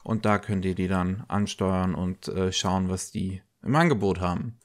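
A man co-hosting a podcast, talking casually and haltingly, slightly congested from a cold. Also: low-pitched. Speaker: podcast co-host. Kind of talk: casual news podcast talk.

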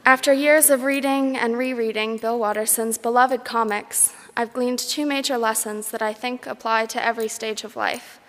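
Young woman, student orator, higher-pitched, measured and formal commencement address, long hall reverb. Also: fairly even intonation, fairly bright tone. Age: young adult. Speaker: graduating student speaker. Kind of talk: prepared commencement speech. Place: large concert hall, speaking at a graduation ceremony. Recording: echoey large hall PA.

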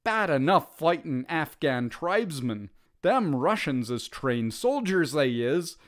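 A man voicing an uneducated, wary British soldier with rough grammar in a storybook reading. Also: fairly high pitch, very animated, takes it slowly. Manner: rough ungrammatical speech.

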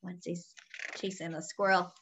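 A young woman reading a picture book aloud to children in an animated, sing-song way, adding small asides to her listeners. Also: fairly low pitch, moderate pace, breathy edge. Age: young adult. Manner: animated, sing-song storytelling with expressive character lines. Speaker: children's story reader. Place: small indoor room at home. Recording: dull lo-fi webcam sound.

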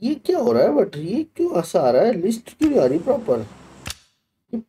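A man's voice: high-pitched, huge pitch swings, moderate pace, warm tone, husky. A man in his thirties with an Indian accent, chatting casually as he livestreams a game. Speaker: gaming streamer. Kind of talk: casual livestream chat while setting up a game. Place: indoor streaming setup.

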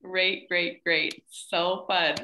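Woman, thirties, lower-pitched, encouraging host, echoey video-call sound. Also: little pitch movement, drawn-out words, pushed and bright, a little hiss or hum. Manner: encouraging, praising. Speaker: host of a student poetry reading. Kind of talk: casual video-call hosting and poem reading. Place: plain indoor room, on a video call.

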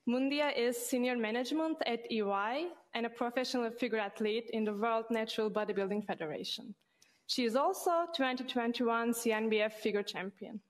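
Young woman moderator, friendly, kinda high voice, headset mic on stage. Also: brisk. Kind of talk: moderating a panel. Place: studio stage with audience.

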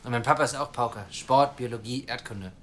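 A man's voice: mid-range voice, fairly quick, a bit bright, lightly acted. Eager, chatty actor as hopeful adoptive father. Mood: chatty, cheerful. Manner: eager, talkative, persuasive. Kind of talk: naturalistic audio drama acting.

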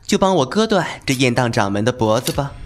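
A man's voice: medium pitch, sing-song, brisk, close to an everyday voice. A man playing a venomous, mocking young disciple in a Chinese martial-arts radio drama, sneering and mock-polite.

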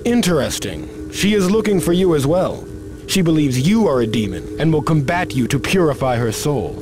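A man's voice: fairly high pitch, big pitch swings, normal speed, music in the background. An actor playing an earnest young male warrior who speaks formally. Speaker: game character. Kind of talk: video game cutscene acting.

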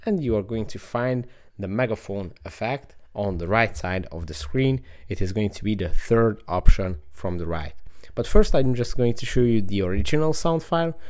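A man's voice: on the low side, darkish tone. A man narrating a step-by-step how-to tutorial as its host. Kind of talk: how-to screen-recording narration.

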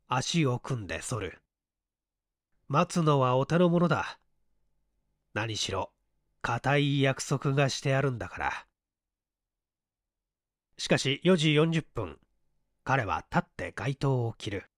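A man reading a mystery story as scripted literary narration, in a measured storytelling manner. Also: medium pitch, no echo, studio quality. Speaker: audiobook reader, stage actor.

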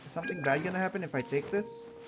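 A man's voice: high voice, normal speed, background noise. A man giving casual commentary on a game playthrough, musing and reacting aloud. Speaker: gaming video creator.

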